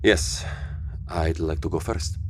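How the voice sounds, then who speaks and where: An actor playing an anxious, protective civilian in an audio drama, speaking in an earnest, formal, questioning way. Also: male voice, extremely low pitch, steady tone, slow pace, slight background noise. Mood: grave, resolved. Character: anxious, protective, courteous male civilian on a mission.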